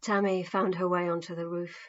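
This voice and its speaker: A woman's voice: on the low side, little pitch movement, brisk. Woman narrating a story, slightly dull recording. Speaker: author reading own novel. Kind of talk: dramatic audiobook narration.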